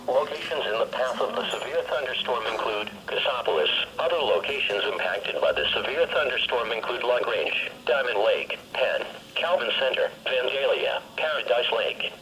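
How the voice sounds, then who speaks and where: A synthetic male voice reading a formal, measured emergency weather warning over weather radio. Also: mid-range voice. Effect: weather radio broadcast. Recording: noisy weather radio picked up by mic.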